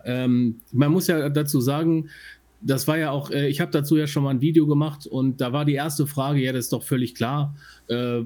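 A German man talks as a podcast co-host in a casual, explanatory way. His voice is of average pitch with a soft, dark, relaxed tone. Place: living room at home.

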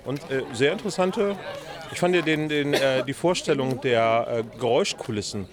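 Hesitant man, medium-pitched, casual. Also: moderate pace, crowd noise. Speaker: podcaster, interviewee. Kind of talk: casual chat. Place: outdoors at a convention.

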